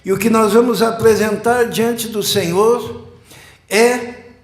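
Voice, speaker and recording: A man, preaching a sermon slowly and emphatically, building up repeated phrases. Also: high voice, fairly even intonation. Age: 60s. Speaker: pastor. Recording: echoey church room.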